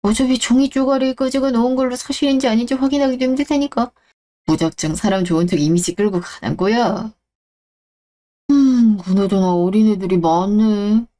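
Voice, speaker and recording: A woman's voice: a little high, normal speed, warm tone, natural voice. A woman voicing a smug, shameless middle-aged ex-husband in a radio drama, blustering and talking down to people. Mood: scheming, self-assured. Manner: blustering, condescending, coarse. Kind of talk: Korean radio-drama story acting.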